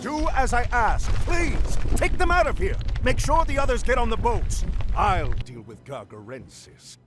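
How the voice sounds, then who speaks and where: Commanding male war hero; firm, lively delivery over faint music. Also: extremely high pitch, unhurried, exaggerated voice. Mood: urgent. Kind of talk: video game cutscene acting.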